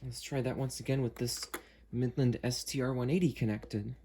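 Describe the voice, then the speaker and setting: A man talks casually as the host of an unboxing demo, thinking aloud as he goes. His pitch is average for a man, and there is some noise behind him. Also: fairly even intonation, normal speed, warm tone. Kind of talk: casual unscripted product demo with friends on a call.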